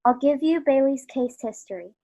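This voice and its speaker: A commanding female superintendent of angels, played by a woman. Her voice is high, her delivery businesslike and authoritative, in the style of an old radio play. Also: lively intonation, normal speed, mellow, dull audio. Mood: brisk, commanding.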